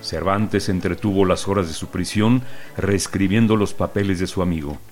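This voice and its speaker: A man narrating a short literary story in a calm, measured reading. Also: deep voice, faint music behind.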